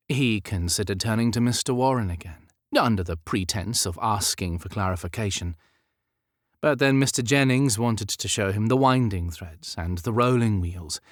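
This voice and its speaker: Male audiobook narrator for period romance; lowish pitch, measured expressive delivery, very dry studio sound. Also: no room sound. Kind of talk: scripted literary narration of a historical romance.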